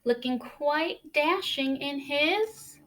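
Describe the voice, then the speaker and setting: A woman with a fairly high voice speaks very slowly, as a teacher does with young children. She is expressive, pauses for drama and puts questions to her listeners. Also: rough recording. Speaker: teacher reading a picture book aloud. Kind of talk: expressive read-aloud for children.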